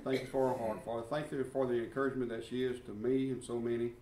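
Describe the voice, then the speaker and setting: A man introducing a guest teacher and praying, halting with fillers. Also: mid-range voice, level tone, warm tone, distant mic. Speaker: church class leader. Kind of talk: introduction and prayer. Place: church classroom. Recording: roomy echo, from off mic, faint room noise.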